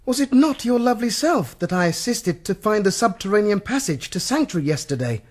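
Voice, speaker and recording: An actor with a British accent playing an ardent, chivalrous young hero in a gothic radio drama, florid and earnest in speech. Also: male voice, sits high, sing-song, a little fast, darkish tone, no room sound.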